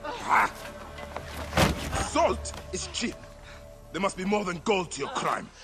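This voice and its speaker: An actor playing a stern, vengeful ancient Egyptian warrior in a video game, solemn and forceful. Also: male voice, sits high, huge pitch swings, slow, loud music behind the voice, exaggerated voice. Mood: righteous fury. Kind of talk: dramatic video game acting.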